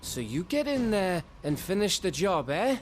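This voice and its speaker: An actor playing a blunt, wary comrade in arms in a video game, talking colloquially. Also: male voice, high-pitched, very animated, normal speed, slight background noise. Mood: urgent. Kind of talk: dramatic video game acting.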